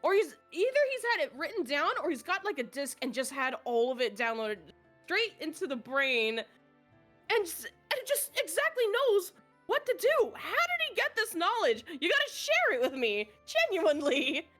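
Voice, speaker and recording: A woman's voice: very high voice, very animated, moderate pace, fairly bright tone, soft background music. A woman giving playful color commentary on an esports match. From United States. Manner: playful, laughs easily.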